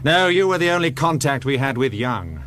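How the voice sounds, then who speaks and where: An actor playing a pragmatic, self-assured intelligence officer in a radio thriller, brisk and matter-of-fact, with a British accent. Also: male voice, mid-range voice, big pitch swings, fairly bright tone. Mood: brisk, explanatory. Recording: radio drama with clear background noise.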